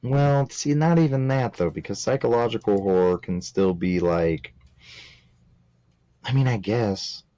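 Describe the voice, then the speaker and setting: A man's voice: on the low side, a little slow, no echo, lo-fi sound. A man co-hosting a casual film review podcast, blunt and joking darkly. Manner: blunt, swears, dark jokes. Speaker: podcast co-host.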